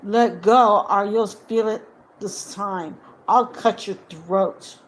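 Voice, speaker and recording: A stern male storybook hero read by a woman speaks in loud, firm commands with deliberate menace. The delivery is slow and forceful, with some background noise. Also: mid-range voice, close to an everyday voice. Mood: stern, threatening. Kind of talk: audiobook character voice.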